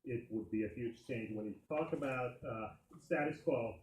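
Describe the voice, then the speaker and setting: A man's voice: medium pitch, normal speed, a little mellow, a little hiss or hum. A man from Finland introducing himself as a candidate at a community meeting, friendly and hesitant with many fillers. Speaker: state senate candidate. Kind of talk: campaign speech at community meeting. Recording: echoey meeting room.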